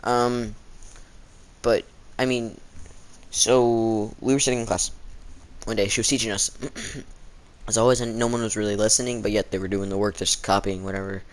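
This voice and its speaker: A man's voice: fairly low pitch, fairly even intonation, normal speed, pauses often. A teenage male vlogger chatting casually as he tells a rambling story, acting out the people he quotes. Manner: rambling, acts out quoted exchanges.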